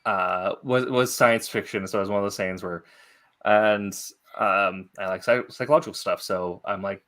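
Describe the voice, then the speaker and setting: A man hosting a casual interview about writing, speaking in a halting, thoughtful way as a fellow writer. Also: lowish voice, fairly bright tone. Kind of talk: casual podcast interview chat.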